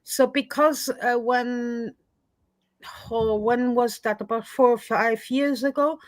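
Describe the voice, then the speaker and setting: A chatty woman with a Swiss French accent, her first language French, talking as an interview guest. Her voice sits a little high and sounds close and dry, with no room around it, as on a video call. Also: fairly even intonation, slow pace, no echo, rough recording. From Switzerland, French-speaking. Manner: chatty, self-deprecating, laughs easily. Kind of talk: casual online interview chat.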